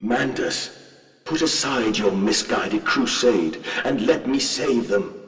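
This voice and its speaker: A man's voice: medium pitch, takes it slowly, fairly bright tone. A male actor playing a grandiose, menacing and pleading sentient machine god in a video game, with a theatrical, oratorical delivery that swings from coaxing to ranting. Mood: grave, warning. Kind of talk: dramatic video game monologue. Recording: cavernous echoing hall, distant voice.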